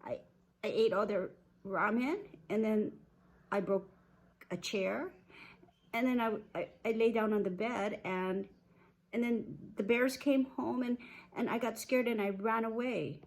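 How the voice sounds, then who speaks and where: A woman's voice: fairly high pitch, darkish tone, voice pushed up, low-quality recording. A woman voicing a young storybook girl with a childlike way of talking, a little fussy and earnest. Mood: apologetic. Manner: childlike, halting.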